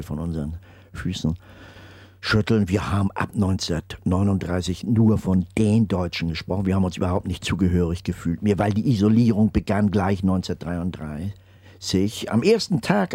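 An older man speaks slowly and deliberately, leaning hard on key words before his voice sinks to a near-whisper at the ends of phrases. His voice is low and gravelly, with a dark, mellow timbre. The recording is close and dry. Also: no echo. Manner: slow, deliberate and emphatic, trailing off into near-whispers. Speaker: interviewee. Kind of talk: reflective testimony interview.

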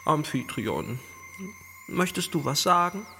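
A man's voice: on the high side, lively intonation, takes it slowly, vocal fry, sharp tone, faint music behind, heavily acted. A man acting a cruel tyrant in a theatrical radio tragedy, drawing out his words with sardonic mock lament. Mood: mock pity. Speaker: actor. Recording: long reverb with background noise.

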